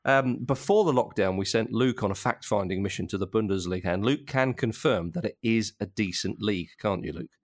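A man hosting a casual football podcast in a jovial, chuckling way, often halting as he gets his thought out. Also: lowish voice. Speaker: podcast host.

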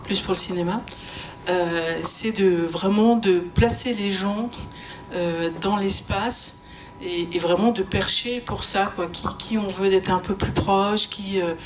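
A woman speaking informally as a sound professional on a panel, in hesitant, broken phrases. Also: on the low side.

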